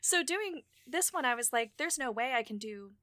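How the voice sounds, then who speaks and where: A young woman who is hard of hearing chats easily and pokes fun at herself, often laughing as she talks. Her voice is bright and a little high. It comes through a dry home microphone close up. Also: animated, dry recording. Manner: chatty, self-mocking, quick laughs. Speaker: podcast host and producer. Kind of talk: casual chat. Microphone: home mic over voice chat.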